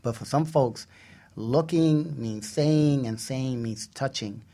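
A man's voice: medium pitch, slow pace, warm tone. A man hosting a casual radio discussion, talking in an earnest, conversational way. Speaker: radio show host.

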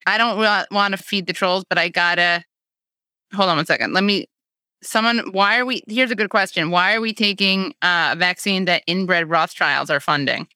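A woman hosting a casual online show over a video call, reading out viewer questions and tossing in sarcastic asides. Her voice is bright and forward, and the sound is close and dry with no room around it. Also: fairly low pitch, dry recording. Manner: sarcastic, quick asides while reading chat.

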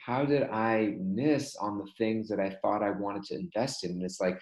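A man's voice: fairly low pitch, normal speed, roomy sound, low-quality recording. A man chatting casually and questioning himself, with lots of fillers and restarts. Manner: animated self-questioning, many likes and restarts. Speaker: group member. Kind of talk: casual video-call chat.

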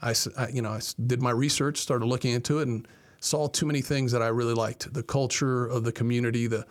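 A man's voice: mid-range voice, steady tone, fast talker. A man in his forties from Miami, a college athletics director, telling his story conversationally as an interview guest. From Miami, Florida, United States. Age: 40s.

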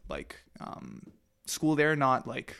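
Young male podcast guest; average pitch, rambling, filler-heavy storytelling. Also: big pitch swings, takes it slowly, gravelly, sharp tone. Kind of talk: casual interview.

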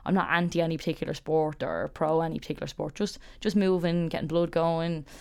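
A woman's voice: low voice, steady tone, brisk, vocal fry, a little mellow, smooth. A woman martial arts coach, speaking earnestly and encouragingly in an interview clip. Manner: earnest, encouraging, repeats words when starting.

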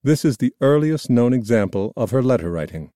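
A man reading scripted nonfiction narration in a measured, formal storytelling manner. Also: mid-range voice, very animated, warm tone, dry recording, clean studio sound. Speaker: audiobook narrator.

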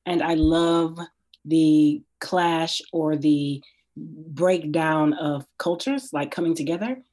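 A woman's voice: low voice, slow pace, darkish tone. A woman guest introducing herself earnestly as an anthropologist and writer. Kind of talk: self-introduction on a podcast.

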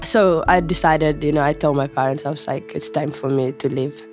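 A young woman from Somalia with a Somali accent, telling personal stories casually in informal, halting phrases. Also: deep voice, fairly even intonation, a little mellow, soft background music. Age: young adult. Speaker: interview guest, student and storyteller. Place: studio.

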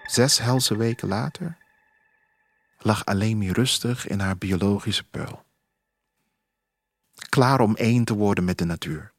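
A man narrating a scripted first-person audio drama in a measured, reflective storytelling manner. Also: on the low side. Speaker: author reading own story. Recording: music under the voice. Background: music bed.

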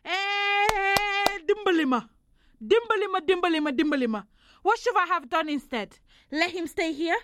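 High-voiced mother. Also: normal speed, a bit bright, no echo, clean studio sound. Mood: defiant, angry. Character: anguished, proud Senegalese mother, defiant and worn down. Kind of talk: audio drama acting.

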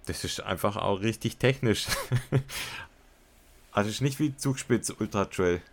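A man's voice: on the low side, unhurried. A man chatting casually as a podcast co-host, enthusiastic and colloquial with bits of English slang. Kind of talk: casual podcast chat and product review.

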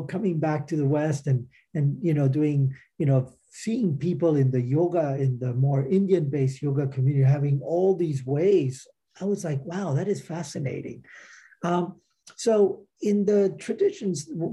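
A middle-aged man, a teacher and author being interviewed, explains his practice informally in halting, circling explanations. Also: fairly high pitch, warm tone, breathy edge. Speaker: interview guest, meditation movement teacher and author.

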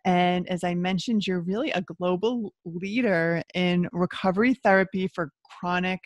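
A woman's voice: on the low side, moderate pace, dry recording. A woman hosting a podcast interview, chatty and enthusiastic, with frequent fillers. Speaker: podcast host.

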